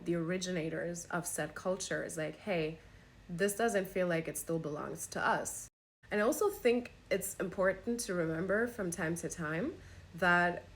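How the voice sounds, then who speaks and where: A woman's voice: lowish voice, slight background noise. A woman from Germany giving an earnest opinion talk to camera as a podcast host, speaking in an emphatic, pleading way.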